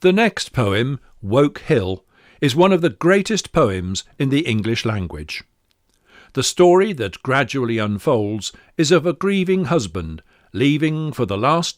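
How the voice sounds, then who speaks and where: Guy reading a scripted intro to poems, very dry sound, pitch moves around a lot. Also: no room sound. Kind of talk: scripted narration.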